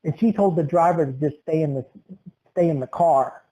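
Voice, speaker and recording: A man's voice: on the high side, moderate pace, mellow, low-quality recording. A young man hosting a podcast, telling exaggerated comic stories. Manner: exaggerated tall-tale telling. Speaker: podcast host. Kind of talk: comic storytelling.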